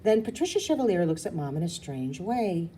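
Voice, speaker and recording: A woman's voice: fairly low pitch, very animated, a little fast, dark tone, a little hiss or hum. A woman reading a children's novel aloud informally, now and then stumbling and correcting herself. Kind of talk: storybook read-aloud, informal.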